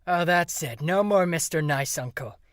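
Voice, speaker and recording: Man playing a fed-up, swaggering uncle, highish voice. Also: lively intonation, normal speed, dry recording, clean studio sound, lightly acted. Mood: menacing. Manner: taunting, swaggering. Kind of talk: fan audio drama acting.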